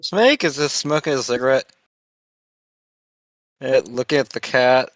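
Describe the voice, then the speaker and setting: A man's voice: mid-range voice, animated, measured pace, a bit bright, dry recording. A man taking part as a player in a tabletop game, narrating his character casually.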